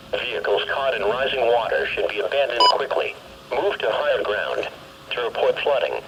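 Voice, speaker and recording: A synthetic man's voice reads scripted weather alerts and conditions in a formal, impersonal, measured way over a weather radio. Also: mid-range voice, fairly bright tone, muffled recording. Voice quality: synthetic text-to-speech voice. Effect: weather radio broadcast. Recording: noisy weather radio picked up in a room. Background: background noise.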